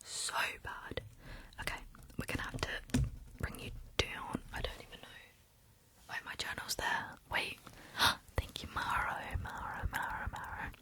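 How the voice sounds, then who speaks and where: A woman chatting in a soft whisper on her ASMR livestream, looping words over and over. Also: unhurried. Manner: chatty, repeats words in loops.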